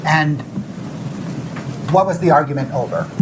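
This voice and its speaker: Higher-voiced male lawyer, noisy courtroom audio. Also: measured pace. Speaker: lawyer questioning a witness. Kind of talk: formal courtroom questioning.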